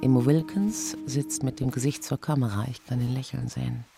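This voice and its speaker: Crime drama narration by a man playing a sober detective. The voice is mid-pitched with a gravelly creak, recounting things factually over clearly audible music. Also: fairly even intonation, a little fast, lightly acted. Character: male police detective soberly recounting a murder case. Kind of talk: scripted first-person crime drama narration.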